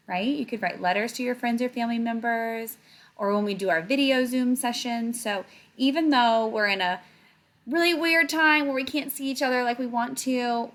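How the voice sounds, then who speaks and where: A woman's voice: fairly high pitch, a bit bright. A woman reading a picture book aloud to children, with chatty asides and checking questions.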